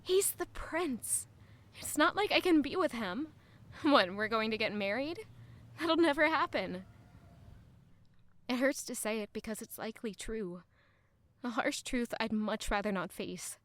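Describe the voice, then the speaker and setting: A woman's voice: a little high, lively intonation, a little slow, a bit bright. An actress playing a guarded, wistful young lady-in-waiting in an audio drama, speaking earnestly and introspectively. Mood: pained, resigned. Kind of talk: audio drama narration and dialogue.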